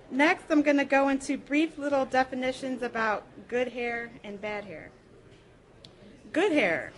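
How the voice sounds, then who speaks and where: A woman reading short tales and definitions aloud to an audience at a live library program. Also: high voice. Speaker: reader at a public library program.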